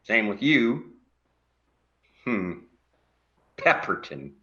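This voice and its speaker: A man's voice: lowish voice, big pitch swings, drawn-out words, sharp tone, dull audio, full character voice. A man playing a grumpy older widowed neighbor in a stage play, blunt and dryly complaining. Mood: reflective.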